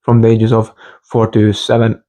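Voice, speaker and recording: A young man from Scotland and Hungary giving an informal motivational monologue with personal stories, speaking haltingly and repeating phrases for emphasis. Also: on the low side, completely flat delivery, dark tone. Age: young adult. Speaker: motivational video host.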